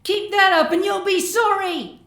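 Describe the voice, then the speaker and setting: Woman as a high, squeaky, fierce little girl. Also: fairly even intonation, a little slow, fairly bright tone, reverberant, exaggerated voice. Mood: distressed anger. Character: distressed, fierce seven-year-old girl being bullied.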